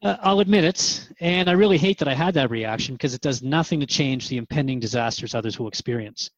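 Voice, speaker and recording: A man's voice: medium pitch, fast talker, no room sound. A man in a conversational radio drama, asking and answering questions in a hesitant, reflective way. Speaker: interviewer in a radio drama series. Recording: dry, slightly dull audio.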